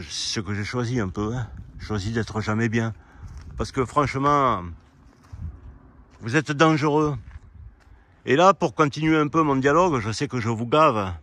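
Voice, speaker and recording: A man giving a rambling, argumentative opinion monologue, speaking directly to his listeners in an indignant way and halting to search for words. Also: mid-range voice, a bit bright. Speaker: amateur video commentator. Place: quiet spot outdoors.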